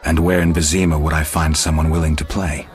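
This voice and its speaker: An actor playing a gruff, stoic monster hunter for hire in a video game, speaking in a terse, deadpan way. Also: male voice, rumbling low, fairly quick. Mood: dry, wary. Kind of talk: video game dialogue acting.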